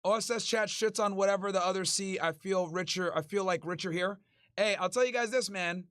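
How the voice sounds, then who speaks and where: A man's voice: high voice, brisk, a bit bright, smooth, dry recording, studio quality. A man in his thirties hosting a livestream, laid-back and tired, reading viewer messages with crude slang and jokes. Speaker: livestream host. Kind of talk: casual livestream chat reading super chats.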